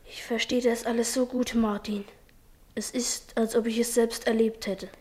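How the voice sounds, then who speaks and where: Schoolboy dreamer played by a woman, high-pitched, earnest and self-assured, children's radio drama. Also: flat delivery, moderate pace, a little mellow, lightly acted. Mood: pensive. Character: thoughtful, confident schoolboy and budding poet. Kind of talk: children's radio play acting.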